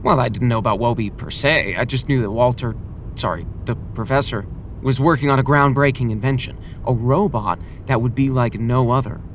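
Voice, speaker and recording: A man playing a bemused, cautious middle-aged dad with a wry, halting manner in a children's audio drama. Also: medium pitch, slight background noise, lightly acted. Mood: wry, guarded.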